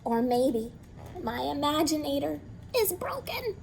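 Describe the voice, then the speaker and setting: A woman voicing a gentle, worried male owl in a storybook, speaking softly and hesitantly. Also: high voice, very animated, a little slow, gravelly, slight background noise, heavily acted. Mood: puzzled.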